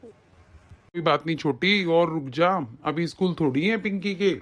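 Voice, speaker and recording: A woman voicing a mild-mannered grown son and husband in a family skit, with a husky lowered voice. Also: unhurried. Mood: easygoing.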